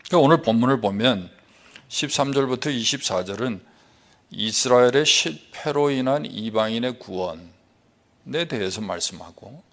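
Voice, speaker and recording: A man pastor preaching a sermon, talking in quick runs with frequent fillers between phrases. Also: mid-range voice, expressive.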